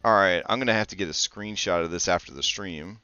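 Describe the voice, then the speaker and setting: Excitable guy hosting a game chat, smooth voice, dry dull mic, swears a lot. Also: low-pitched, fast talker, dry recording. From Italy. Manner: excitable, swears casually, many restarts. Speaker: podcast host. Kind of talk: casual chat about tabletop games.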